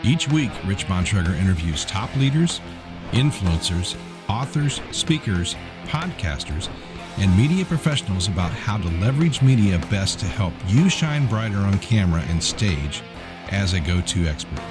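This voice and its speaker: A man reading a scripted show introduction in a booming announcer style. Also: big pitch swings, moderate pace. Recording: voice-over over guitar music.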